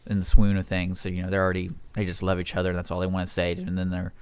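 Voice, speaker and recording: A man's voice: low-pitched, monotone, very fast, smooth, no room sound. A man co-hosting a radio show, bantering casually with a wry, teasing manner. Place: community radio studio.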